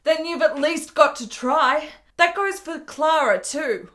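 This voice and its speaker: A woman's voice: high-pitched, animated, slow, fairly bright tone, heavily acted. An actress playing a frail, ailing woman in her twenties in a period radio drama, earnest and frank with formal, precise phrasing. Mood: urging.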